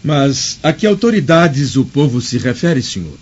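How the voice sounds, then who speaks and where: A man's voice: medium pitch, big pitch swings, unhurried, husky, highs cut off. An actor playing a composed, principled Roman senator in a radio drama, speaking formally and declaiming his lines. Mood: measured approval.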